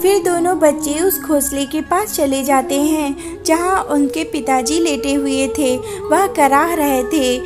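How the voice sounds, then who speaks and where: A woman reading scripted narration for a children's animated moral story. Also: high voice, measured pace, few pauses. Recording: music behind the voice.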